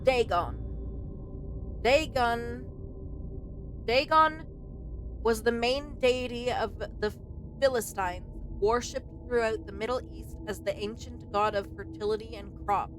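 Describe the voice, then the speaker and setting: A woman's voice: fairly high pitch, slow pace, pauses often, rough recording. A woman game streamer giving casual let's play commentary, with game music behind her.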